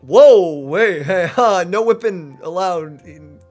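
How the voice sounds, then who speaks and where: A man's voice: sits high, animated, slow pace, a little mellow, faint music behind. A man giving casual, playful let's play commentary, thinking aloud in an informal way.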